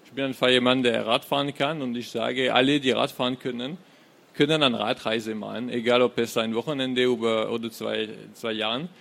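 A man's voice: medium pitch, steady tone, fairly quick, vocal fry, a bit bright. A young man from France with a French accent, a non-native German speaker giving a hesitant live talk at a book fair. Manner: hesitant, many filler sounds. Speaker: guest author. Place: fair hall stage.

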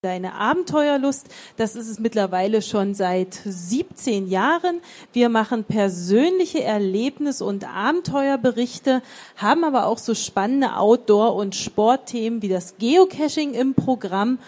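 Upbeat lady host, warm mellow voice, introduces the author. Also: on the high side, expressive, measured pace. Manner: upbeat promotional. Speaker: publisher presenter. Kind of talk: event moderation. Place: fair hall stage.

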